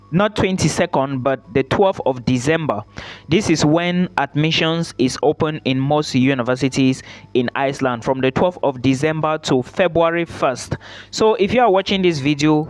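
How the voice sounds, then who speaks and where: A man hosting his own channel, giving emphatic advice straight to the viewer, repeating key points and checking in with "okay?". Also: a little high. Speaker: study-abroad YouTuber. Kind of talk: direct-to-camera advice vlog.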